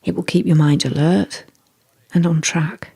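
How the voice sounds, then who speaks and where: Husky, breathy meditation guide, softly creaky. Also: medium pitch, steady tone, measured pace. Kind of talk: scripted guided meditation narration.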